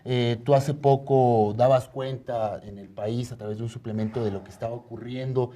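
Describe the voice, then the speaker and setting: A man's voice: mid-range voice, steady tone, normal speed, dark tone. A Mexican man hosting a studio interview, presenting guests and putting questions to them in spontaneous speech. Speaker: talk show host interviewing guests.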